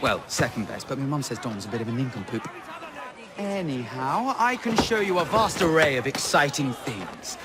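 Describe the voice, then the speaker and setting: A man voicing an excitable, chatty, flamboyant Victorian bookie with a London accent in a video game. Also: mid-range voice, normal speed, a loud crowd, very noisy, natural voice. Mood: showy salesmanship. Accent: London English. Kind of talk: video game cutscene acting.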